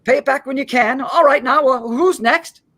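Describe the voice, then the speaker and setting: A man playing an earnest, kind-hearted small-town loan manager in a live radio play, stammering and halting as he pleads. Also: extremely high pitch, bright, forceful tone, heavily acted. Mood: relieved, kindly.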